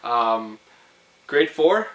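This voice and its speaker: A young man hosting a vlog, telling a story casually in a jokey, animated, teasing way. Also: medium pitch, extremely slow, low-quality recording. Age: young adult.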